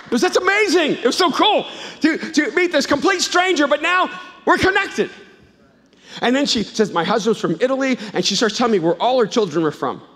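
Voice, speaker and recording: A middle-aged man from El Salvador preaching a sermon, swinging between loud emphatic bursts and low, intimate asides, and telling stories with frequent restarts. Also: lively intonation, fairly quick. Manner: conversational storytelling with repeated restarts. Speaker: pastor. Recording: long hall reverb.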